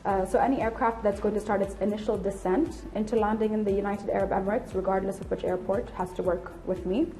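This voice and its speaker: A woman's voice: mid-range voice, fairly even intonation, fast, few pauses, mellow, soft background music. A woman in her late twenties giving candid, articulate explanations in an interview. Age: late 20s. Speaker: air traffic control officer and instructor being interviewed.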